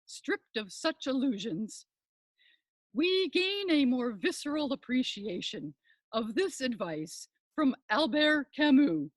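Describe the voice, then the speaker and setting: An older woman performing a scripted comic monologue as a pompous professor and podcast host, with florid, deliberate academic delivery. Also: sits high, sing-song, no echo, poor audio.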